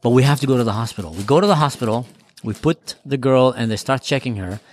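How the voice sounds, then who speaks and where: A young man telling a long story in casual podcast chat, animated and full of quick restarts. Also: medium pitch.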